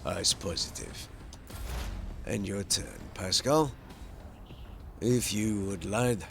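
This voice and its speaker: A man's voice: on the low side, slow pace, gravelly, slight background noise. A man commentating over his playthrough of a strategy game, musing aloud and talking to the characters, with game music behind him. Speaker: gaming channel host.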